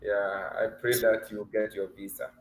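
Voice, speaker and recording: A man's voice: on the low side, level tone, moderate pace, slight background noise. A man coaching a student for a mock visa interview over a video call, teasing and laughing often but encouraging. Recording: echoey room with faint ambience.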